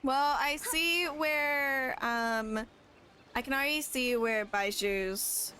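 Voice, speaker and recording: Woman chatting casually, fairly high voice. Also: slow pace, sharp tone, clear, resonant tone, faint music behind. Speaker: co-player commenting on gameplay. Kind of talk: casual gameplay chat.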